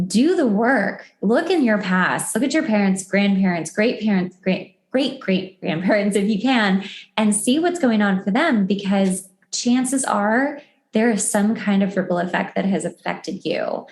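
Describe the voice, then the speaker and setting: A woman's voice: medium pitch, animated, a little slow. Woman, podcast host, mid-range voice, informal chatty delivery, casual conversation, roomy echo. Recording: roomy, echoey sound.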